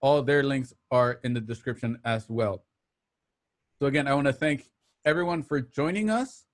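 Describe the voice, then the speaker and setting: A man hosting an online show, giving casual, slightly halting announcements and plugs to listeners. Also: mid-range voice. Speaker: host of an online radio drama show.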